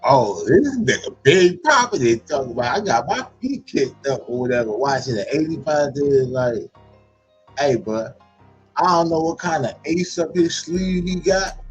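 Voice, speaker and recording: A man's voice: on the high side, animated, normal speed, highs cut off, soft background music. A man giving casual, profane commentary as a reaction show host, full of slang. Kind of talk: casual commentary on a played clip.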